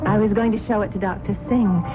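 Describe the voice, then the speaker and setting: An actress playing a trusting wealthy wife devoted to spiritual pursuits, gentle, earnest and a little naive, in a radio mystery drama. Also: female voice, medium pitch, steady tone, fast talker, lightly acted. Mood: fond. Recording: tinny old radio broadcast with loud music.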